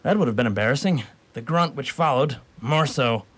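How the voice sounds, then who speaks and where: A man's voice: mid-range voice, animated, moderate pace, a bit bright. A man narrating a scripted comic radio story in a wry, mock-formal way.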